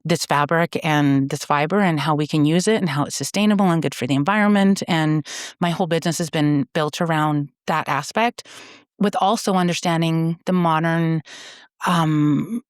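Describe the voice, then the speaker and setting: A woman's voice: low-pitched, normal speed, no echo, clean studio sound. A woman from Canada, a company founder, explaining her work earnestly in a conversational interview, with frequent fillers and restarts.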